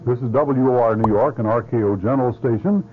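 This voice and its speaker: A man's voice: medium pitch, fast. Formal male station announcer, tinny. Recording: tinny old radio broadcast.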